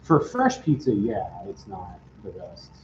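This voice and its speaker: A man chats loosely with a group and strings his thoughts together with "like". His tone is mellow and dark. The room is echoey, the sound is a little muffled, and faint noise sits underneath. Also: fairly low pitch, wildly swooping pitch, measured pace. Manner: rambling, heavy on "like". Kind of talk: casual group chat.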